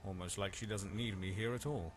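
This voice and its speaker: Man playing a low-voiced, wry temple guardian. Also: a little fast, background noise, close to an everyday voice. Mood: dry, matter-of-fact. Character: dutiful, wry male guardian of a blind priestess. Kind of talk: scripted fantasy game dialogue.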